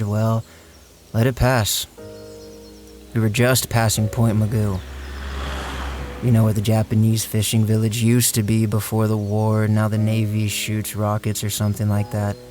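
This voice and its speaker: A man's voice: fairly low pitch, monotone, moderate pace, a little mellow, soft background music, natural voice. An actor playing a big, plainspoken, laconic driver telling his story in an audio drama. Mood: matter-of-fact. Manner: laconic, matter-of-fact, colloquial. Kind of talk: audio drama first-person narration.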